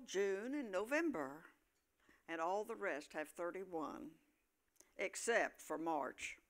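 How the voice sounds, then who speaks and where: A woman narrates a scripted comic monologue in a bright, forceful voice. Her delivery is slow, deliberate and wry, with lively swings in pitch. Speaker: narrator of a staged reading.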